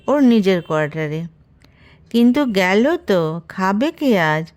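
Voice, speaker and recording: Female narrator, unhurried pace, slightly high pitch, literary storytelling, gently wry expression. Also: smooth.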